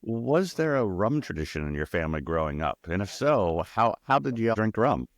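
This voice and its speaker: Deep-voiced, lively male interviewer. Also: moderate pace, no room sound, clean studio sound. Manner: upbeat, bouncy questions, some stammering. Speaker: interview host. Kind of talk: interviewing a guest.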